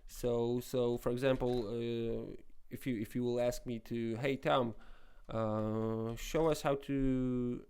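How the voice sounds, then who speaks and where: A young Polish-accented man talks through his screen tutorial in a loose, rambling way, restarting his sentences over and over. His voice is mellow and smooth, and his pitch stays fairly flat. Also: slow. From Poland. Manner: rambling, many restarts and fillers. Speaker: web development tutorial host. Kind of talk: casual screen-recorded tutorial talk.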